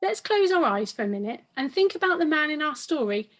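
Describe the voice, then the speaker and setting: A woman's voice: high-pitched, big pitch swings, brisk, fairly bright tone, muffled recording. A woman presenting a Bible story session, chatting directly to young children.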